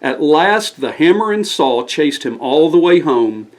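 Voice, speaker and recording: A man's voice: fairly high pitch, expressive, unhurried. A man reading a scripted bedtime story aloud as the storyteller.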